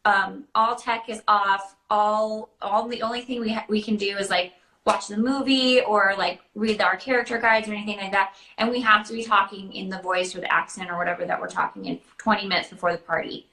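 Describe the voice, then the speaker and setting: Bright-voiced woman in an echoey room. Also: mid-range voice, steady tone, brisk. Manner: informal, hesitant phrasing peppered with "like". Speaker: guest answering questions about character voices. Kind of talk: casual Q&A chat. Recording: roomy, echoey sound.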